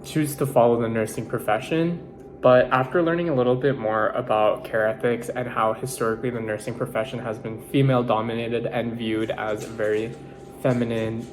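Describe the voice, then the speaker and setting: Young man from the Philippines, Tagalog first language, mid-pitched, earnest nursing-student reflection, faint hum. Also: rough recording. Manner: earnest, measured, with self-corrections and fillers. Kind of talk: reflective personal monologue.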